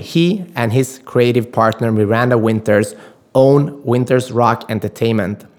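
A man hosting an interview, reading a polished introduction in a mid-range voice. His questions come with small stumbles and repeated words. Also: mid-range voice. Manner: reads a formal introduction, then asks questions with repeated words. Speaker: interview host. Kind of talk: podcast interview.

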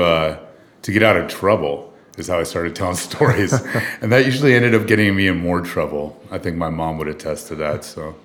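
A man with a very low voice talks through his life story as an interview guest. He is from Southern California, and his relaxed answers are full of ums and uhs. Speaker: guest filmmaker.

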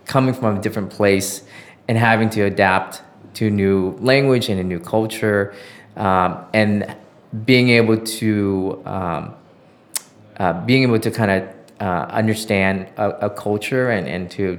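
A man's voice: fairly low pitch, fairly even intonation, measured pace. A middle-aged American man telling stories as an interview guest, reflective and often searching for words. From Southern California. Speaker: interview guest, former journalist. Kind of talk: conversational interview storytelling.

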